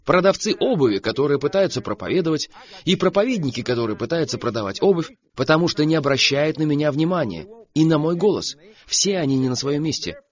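Man, preacher, host, animated storyteller, lively pitch, dull lo-fi sound. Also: quick pace. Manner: animated storytelling about flying.

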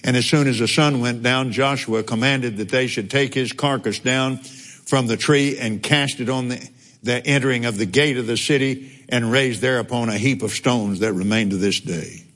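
A man teaching from the Bible in a relaxed, folksy way, slipping in chuckling asides between verses. His voice sits at an ordinary middle pitch. The sound rings out with the long reverb of a big church hall. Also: normal speed. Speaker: preacher.

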